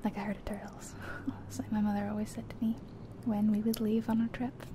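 A soft-spoken woman doing an ASMR roleplay as a friendly, chatty taxi driver, in a gentle, whispery delivery. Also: mid-range voice, monotone, a little fast. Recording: faint background noise of game car sounds. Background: car sounds from a driving game.

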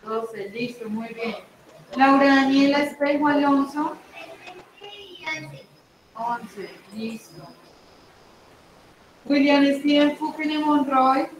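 A young adult woman teacher with a Colombian accent, taking roll in an online class on a laptop mic, brisk and repetitive. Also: high voice. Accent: Colombian Spanish. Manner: brisk, repeats names and ages, says "listo". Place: indoors at home on a video call. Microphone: laptop mic on video call. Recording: very echoey room.

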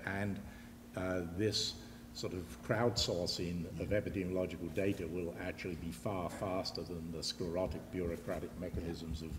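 Older guy on a panel, really low voice, formal wordy answers, hall echo and a bit of hiss. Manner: measured, formal, elaborate vocabulary. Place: large auditorium stage. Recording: reverberant hall, faint noise.

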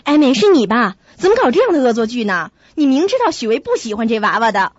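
Casting: young female flatmate, high and animated, expressive radio-drama delivery, muffled recording. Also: fast talker, no echo. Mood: questioning. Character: young woman Xiaoqi, startled, then soothing flatmate. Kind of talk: Mandarin radio drama acting.

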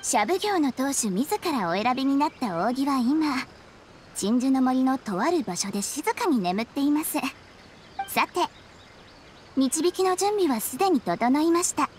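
An actress playing a polite, eerie contest host in an anime game, speaking formally and teasingly, like someone telling ghost stories. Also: female voice, high-pitched, animated, measured pace, a little hiss or hum. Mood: mysterious. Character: polite, eerie female contest host who relishes scaring people.

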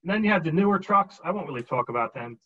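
A man in his forties giving a casual show-and-tell seminar from home on a video call, relaxed and explaining as he holds items up. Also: a little high, quick pace, breathy edge. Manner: relaxed, explains while holding up items, frequent ums. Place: home dining room with a glass cabinet. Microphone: webcam on a video call. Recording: muffled video-call audio.

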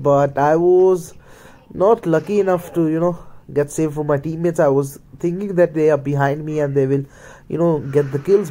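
A man's voice: on the high side, mellow. A man with an Indian accent, a native Hindi and Punjabi speaker, giving casual play-by-play commentary as he games. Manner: mutters play-by-play, slips into Hindi. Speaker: gaming video creator.

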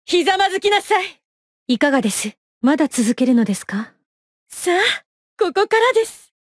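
A young female knight from a fighting game, with a high voice that swings widely in pitch. She is haughty and coolly ruthless, polite in wording but imperious in delivery. The recording is dry and close, with clipped battle lines. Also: big pitch swings, normal speed, no room sound. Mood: confident, taunting.

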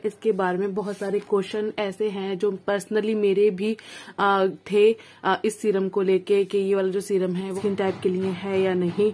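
A woman's voice: medium pitch, darkish tone. A woman with an Indian accent and a cold gives a casual, chatty product review, mixing in English phrases. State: has a cold. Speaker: beauty vlogger reviewing skincare.

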